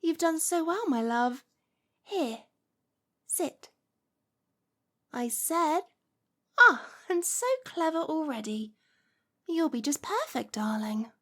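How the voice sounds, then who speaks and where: A woman's voice: sits high, animated, slow pace, long pauses, a bit bright, exaggerated voice. A woman playing a lovestruck, possessive witch in an ASMR roleplay, cooing and coaxing with a condescending sweetness. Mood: sweetly commanding.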